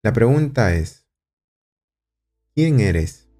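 A man leads a slow, thoughtful lesson, often pausing to check in with the listener. His voice sits low and mellow. Soft music plays faintly beneath him. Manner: slow, calm, guiding, asks rhetorical questions. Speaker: podcast host and teacher. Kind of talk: guided reflective lesson.

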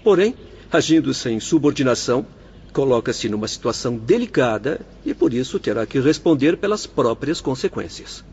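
An actor playing a young spirit doctor in a radio drama, explaining things formally and patiently. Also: male voice, medium pitch, big pitch swings, moderate pace, darkish tone, dull audio, slight background noise. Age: young adult. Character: calm, instructive young spirit doctor and hospital director.

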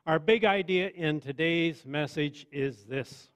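A man preaching a sermon in a measured, conversational way with wry asides. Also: on the high side, muffled recording. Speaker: pastor.

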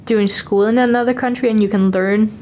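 A woman's voice: medium pitch, steady tone, fairly quick, a little mellow, slight background noise. Chatty young Danish woman student. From Denmark. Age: young adult. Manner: chatty, upbeat, fillers of like. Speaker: exchange student interviewee. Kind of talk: casual interview chat.

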